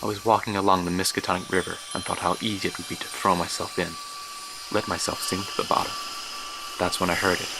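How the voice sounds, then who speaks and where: An actor playing a haunted, sleepless scholar in a horror audio drama, delivering a brooding, measured first-person monologue. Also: male voice, sits low, level tone, background noise. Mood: despairing, then urgent wonder. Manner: brooding, measured, literary phrasing.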